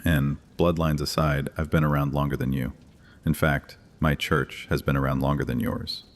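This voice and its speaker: An actor playing an ancient, imperious vampire high priest in a horror audio drama, commanding, mocking and theatrical. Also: male voice, flat delivery, normal speed. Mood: cool condescension. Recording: long cavernous reverb, faint music underneath.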